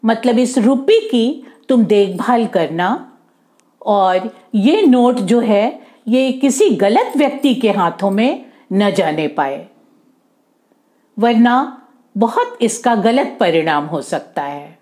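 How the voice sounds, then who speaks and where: Woman as kindly male boss, children's story, earnest, courteous, lilting, higher voice. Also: lively intonation, warm tone. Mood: earnest, explanatory. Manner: earnest, polite, explanatory, slow. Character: kindly, earnest male employer entrusting a gift.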